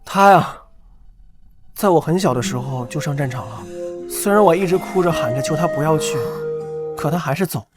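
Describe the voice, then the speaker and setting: An actor playing a cocky, impulsive young lord in a period drama, with a hurt streak underneath. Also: male voice, a little high, moderate pace, long pauses, lightly acted. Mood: wounded. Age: young adult. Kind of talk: Chinese period drama acting. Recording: prominent background music.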